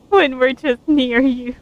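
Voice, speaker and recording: A woman's voice: sits high, fairly even intonation, very slow, highs cut off. A woman streaming a game with casual, hesitant, giggly live commentary.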